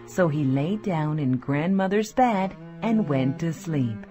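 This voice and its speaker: A woman's voice: sits low, animated, takes it slowly, dark tone. A woman narrator reading a scripted fairy tale for children. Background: faint music.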